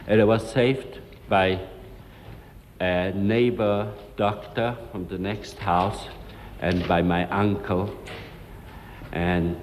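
A man giving survivor testimony as a witness, speaking slowly and haltingly with deliberate phrasing. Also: low-pitched, a little mellow. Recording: echoey noisy archival hall recording.

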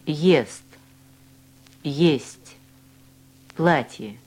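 A woman reading language-course drills and dialogues with slow, deliberate, carefully enunciated speech. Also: deep voice, darkish tone. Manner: clear, deliberate articulation of each word.

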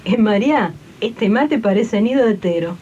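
A cheerful, naive Argentine maid played by a woman in an eighties radio play. She talks colloquially in a mellow, mid-pitched voice, on a dull old recording with faint noise. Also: expressive, moderate pace, lightly acted. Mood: joking. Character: Rosa, cheerful, naive young mother, domestic worker. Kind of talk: 1980s community radio drama acting.

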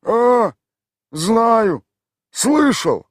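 A man voicing a brash, crude village man who talks loud and bossy. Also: very high voice, sing-song, very slow, no echo, clean studio sound, voice pushed up, exaggerated voice.

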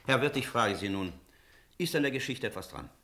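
An actor playing a stern, sceptical village priest in a radio drama, speaking in measured, literary phrases. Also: male voice, mid-range voice, animated, pushed and bright. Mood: agitated, challenging. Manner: measured, rhetorical, literary diction. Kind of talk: German radio drama acting with narration.

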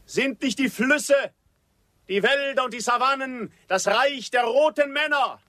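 High-pitched, slow, fiery Apache orator. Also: male voice, bright, forceful tone, no echo, full character voice. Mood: fiery, rallying. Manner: declamatory, proclaiming. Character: fiery Apache orator rallying his warriors. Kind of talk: solemn theatrical radio drama oratory.

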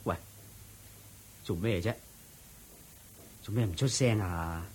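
A fed-up henpecked merchant in hong kong cantonese, bit raspy, pleading and sighing, radio play acting. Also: male voice, low voice, takes it slowly, darkish tone, full character voice. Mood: incredulous. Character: exasperated, henpecked merchant man, placating and incredulous. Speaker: radio drama actor. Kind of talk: theatrical radio drama acting.

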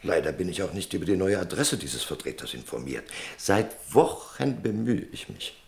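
Creaky, deep-voiced, evasive merchant. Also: male voice, big pitch swings, darkish tone, heavily acted. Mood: sly, evasive. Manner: lecturing, insinuating, drawn-out emphasis. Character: evasive, self-important merchant Don Fernandez. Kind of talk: German radio play acting.

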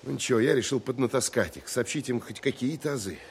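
A man's voice: medium pitch, fast talker, gravelly, a little hiss or hum, natural voice. An actor playing a seasoned, exasperated Soviet counterintelligence man in an audio drama monologue, wry, colloquial and emphatic. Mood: wry exasperation.

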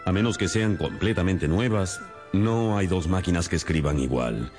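An actor playing a shrewd, stern detective in a radio drama, speaking in a deliberate, theatrical, expository way. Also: male voice, deep voice, over music, lightly acted. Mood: confident, demonstrative.

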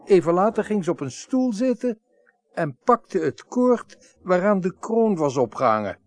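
An actor playing an observant newspaper reporter in a Dutch radio drama, confiding and matter-of-fact. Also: male voice, high-pitched, very animated, a little slow, dry recording.

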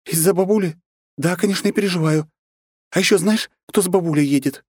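A man voicing an excitable, nervous young woman in an audiobook, pitched high and excited. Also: fairly quick, slightly rough, dry recording, studio quality. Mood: agitated. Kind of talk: audiobook character voice.

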